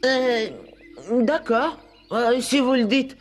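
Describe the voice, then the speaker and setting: A woman voicing a gluttonous young schoolboy in an animated cartoon, scheming, hesitant and easily flustered. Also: sits high, slow, soft background music. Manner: hesitant, musing to himself.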